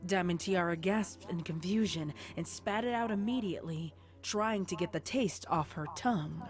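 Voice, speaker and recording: A woman with a slightly low voice narrates a scripted story. Her delivery is that of a dramatic storyteller. Music plays faintly behind her. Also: moderate pace.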